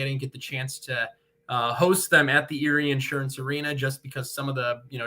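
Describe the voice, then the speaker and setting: A man's voice: medium pitch, pushed and bright. A young man, a sports broadcaster, giving articulate, wordy answers as an interview guest. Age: young adult. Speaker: guest, radio hockey play-by-play broadcaster.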